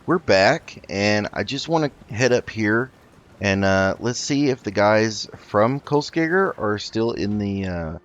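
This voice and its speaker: A man's voice: on the low side, animated, a little slow. A man hosting a casual roleplay let's play, chatting and thinking aloud as he goes. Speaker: gaming video host. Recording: slightly dull mic, game music underneath. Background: faint game music.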